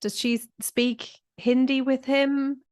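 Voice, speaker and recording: A woman's voice: high voice, flat delivery, takes it slowly, a little mellow. A woman, middle-aged, hosting a casual conversational interview and often repeating words as she feels for the phrase. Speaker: podcast host.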